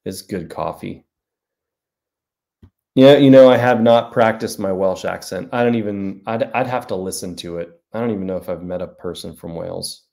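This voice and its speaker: A man's voice: sits low, normal speed, gravelly, dark tone. A man in his forties, an author hosting a casual livestream from a small room at home, talking to a webcam and answering viewer comments in a rambling, self-deprecating way. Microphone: webcam at desk.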